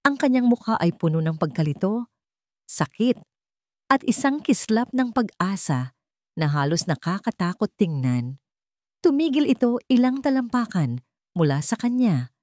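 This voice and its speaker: A Filipino woman narrating a scripted dramatic story in a measured, emotive way with deliberate pauses. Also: medium pitch, very animated, a little mellow, dry recording, clean studio sound.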